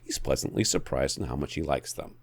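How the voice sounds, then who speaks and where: A man's voice: rumbling low, brisk, creaky, husky. A man co-hosting a podcast, giving opinionated tech advice in a blunt, exasperated, emphatic way that repeats points for effect. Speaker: podcast co-host.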